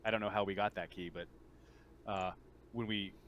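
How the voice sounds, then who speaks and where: A man acting as game master in a tabletop roleplaying game, improvising in a casual, halting way. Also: lowish voice, steady tone, fairly bright tone, lo-fi sound.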